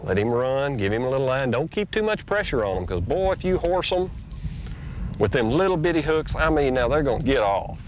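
A man's voice: mid-range voice, fairly quick. Middle-aged guy, folksy drawl, fishing tips out on the boat, wind and water noise behind him. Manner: folksy, drawling, excited when a fish bites. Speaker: fishing show host. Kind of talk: casual outdoor instruction while fishing. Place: on a bass boat on an open lake outdoors. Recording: noisy outdoor boat audio, 1990s tape.

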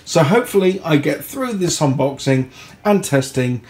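A man from the UK with a sore throat, chatting casually through an unboxing at home, recorded on a handheld phone and clearing his throat now and then. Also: a little high, very animated, normal speed, a little mellow. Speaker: product reviewer. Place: indoor home room. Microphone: phone held in hand.

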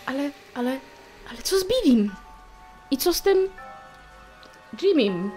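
A woman's voice: sits high, sing-song, unhurried, a little mellow, faint music behind. A woman player speaking casually and in character during a tabletop roleplaying game.

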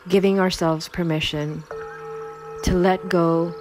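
Low-pitched woman guiding sleep meditation. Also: steady tone, music in the background. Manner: slow, soothing, unhurried delivery with long pauses. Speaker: meditation guide. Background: Tibetan singing bowl tones.

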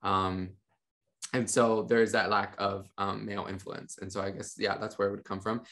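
A young man on a video call, a podcast guest talking about his ministry in a relaxed, rambling way with many fillers. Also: lowish voice, moderate pace, gravelly. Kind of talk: casual interview talk. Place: home room with monitors. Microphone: studio mic on a video call.

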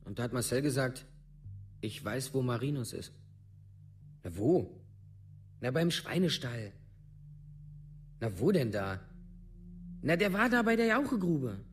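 Rough village teenager, mid-pitched voice, blunt, halting, colloquial, radio play monologue. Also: male voice, sing-song, faint music behind. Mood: tense recollection. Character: Matthias, rough, shaken teenage village friend of victim.

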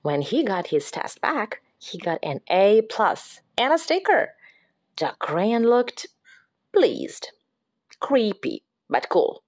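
A woman reading a picture book aloud to children, dramatic and expressive, dropping into hushed whispers at the creepy parts. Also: mid-range voice, slow pace. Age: adult. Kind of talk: expressive read-aloud storytelling.

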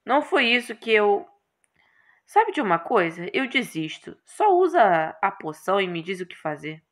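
A woman's voice: mid-range voice, sing-song, moderate pace, close to an everyday voice. A woman voicing a brash, irritable young male hero in a game, exclamatory and sarcastic. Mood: exasperated.

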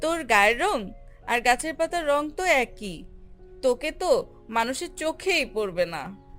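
Woman as haughty cartoon mango, high voice, very animated, boastful, scolding, music underneath. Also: measured pace, heavily acted. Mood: boastful, mocking. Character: vain, haughty, quick-tempered ripe mango, female. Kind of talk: animated children's fairy tale acting.

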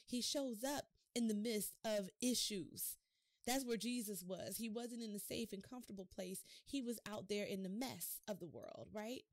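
A woman's voice: mid-range voice, moderate pace, no echo. A middle-aged woman hosting a devotional podcast, preaching expressively and putting rhetorical questions to her listeners. She gestures with her hands as she talks, recorded close in a home studio. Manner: animated hand gestures while talking. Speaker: podcast host. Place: home office studio, indoors.

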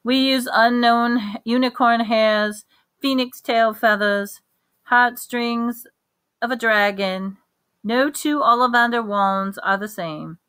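A woman reading aloud voices an intense, eccentric old male wandmaker with a lilting, drawn-out delivery and sudden sharp emphasis. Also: on the high side, clean tone, dry recording, voice pushed up. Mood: eager.

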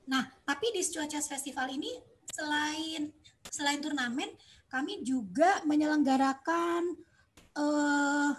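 A woman giving an online presentation for a chess school over a video call, explaining programmes with frequent pauses and fillers. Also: high voice. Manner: hesitant, many fillers, explanatory.

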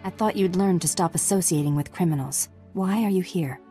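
An actress playing a stern, earnest Jedi warrior in a video game, speaking in a grave, formal manner. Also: female voice, on the low side, brisk, warm tone, soft background music, natural voice. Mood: concerned, reproving.

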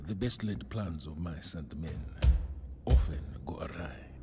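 An actor playing a composed, courteous concierge in a video game, with a formal, measured and precise manner. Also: male voice, low-pitched, lively intonation, over loud music. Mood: calm, probing. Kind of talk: dramatic video game acting.

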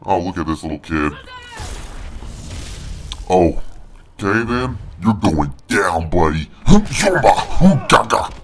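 A man giving joking, boastful let's play commentary through a pitched-down monster voice filter. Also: sing-song, slow pace, long pauses, a little hiss or hum. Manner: joking, boastful, playful trash talk. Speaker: gamer.

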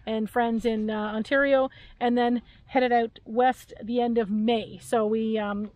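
A woman's voice: a little high, little pitch movement, a little slow, darkish tone, dry recording, rough recording. Chatty Canadian-born woman outdoors. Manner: chatty, giggly, many fillers. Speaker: vlog co-host. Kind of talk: casual chat. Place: outdoors beside a camper van at dusk.

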